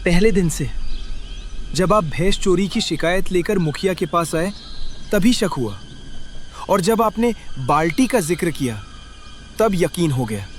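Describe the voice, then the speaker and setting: A man playing a clever, earnest young prince in an animated story, with dramatic delivery. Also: high voice, measured pace, long pauses, a little hiss or hum. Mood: confident. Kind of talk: animated moral story acting.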